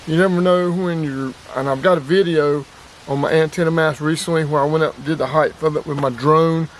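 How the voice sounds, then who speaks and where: A man's voice: on the high side, fairly even intonation, fairly quick, poor audio. A man giving a casual, plainspoken vlog demonstration outdoors, with emphatic repetition. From North Carolina, US. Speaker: outdoors and radio hobby vlogger. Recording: clear background ambient noise.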